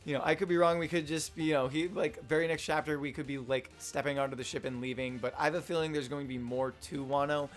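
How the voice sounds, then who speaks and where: A young man with a slightly hoarse voice, rambling casually through a manga chapter review with lots of fillers and restarts. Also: on the high side, steady tone, quick pace, few pauses, faint music behind. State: slightly hoarse, losing his voice. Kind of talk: casual unscripted commentary.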